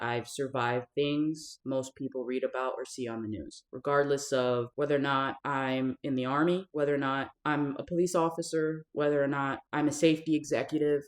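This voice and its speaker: Woman, 30s, from South Florida; safety coach speaking to camera, earnest, deliberate, leans on key words. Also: rumbling low. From South Florida, USA. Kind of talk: personal storytelling to camera.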